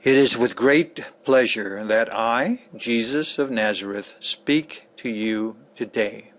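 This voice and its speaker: A man playing a solemn, admonishing teacher from the Gospels, reading a lecture dramatically with deliberate pauses. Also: mid-range voice, fairly bright tone, voice pushed down. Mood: solemn, measured.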